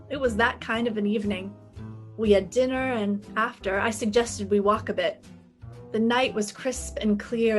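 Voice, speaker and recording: A woman's voice: medium pitch, natural voice. A woman playing a breezy, wry female private detective in a 1950s-style radio play, with sassy delivery. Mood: wry narrating.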